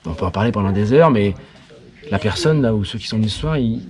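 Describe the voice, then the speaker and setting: A man in casual, heartfelt chat, speaking haltingly as he searches for his words. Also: on the low side, expressive, a little mellow. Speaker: guest at a documentary premiere.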